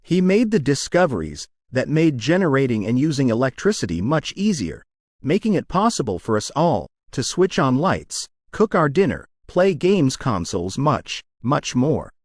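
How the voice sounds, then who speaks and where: A man reading short academic passages aloud as scripted narration, with measured, deliberate enunciation. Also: medium pitch, very animated, no room sound, studio quality. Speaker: narrator of English test practice passages.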